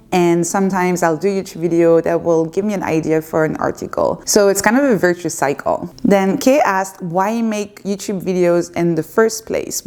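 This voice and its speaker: French-accented woman, low, candid Q&A. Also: a little mellow. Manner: candid, reflective, with some hesitations. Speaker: YouTuber answering viewer questions. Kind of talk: unscripted casual Q&A. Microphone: facing a camera.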